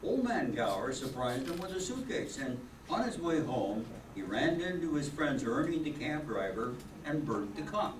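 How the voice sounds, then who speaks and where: A kindly senior angel in charge, telling a story in a radio drama voiced by an older man. The delivery is very animated, distant and roomy, with noise behind it. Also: medium pitch, normal speed, few pauses, lightly acted. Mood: storytelling. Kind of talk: old-time radio play acting, narrating. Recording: echoey, distant, noisy stage recording.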